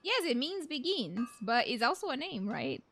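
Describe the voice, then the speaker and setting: A woman is commenting casually on a game as she streams it. Her voice is high and animated. She talks back to the characters informally, with soft game music behind. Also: normal speed.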